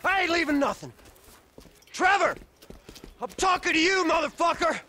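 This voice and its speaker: An actor playing an aggrieved, drug-addled biker in a game, confrontational and pleading, with an American accent. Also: male voice, very high voice, very animated, normal speed, sharp tone, exaggerated voice. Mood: furious. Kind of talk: video game cutscene acting.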